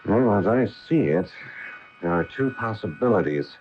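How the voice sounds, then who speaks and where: An actor playing an eager, awestruck astronaut commander in a 1980 radio drama, with an earnest, musing, dramatic delivery. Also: male voice, lowish voice, lively intonation, moderate pace, natural voice. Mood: intrigued, excited. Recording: dull, noisy 1980 AM radio recording.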